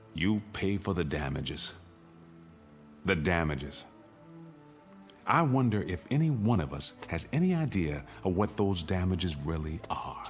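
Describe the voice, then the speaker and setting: Bitter, low-voiced suburban family man. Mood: bitter irony. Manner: deliberate, pointed repetition. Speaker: actor in a radio drama. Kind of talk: dramatic radio acting.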